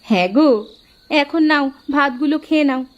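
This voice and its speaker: A woman voicing a gentle, caring young village wife in a cartoon, talking affectionately. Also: sits high, moderate pace, dull audio, voice pushed up, natural voice.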